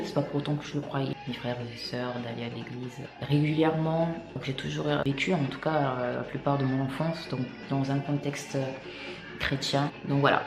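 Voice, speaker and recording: A woman's voice: a bass voice, roomy sound. A woman from Italy sharing her personal faith testimony in a vlog, earnest and hesitant, with many fillers and restarts.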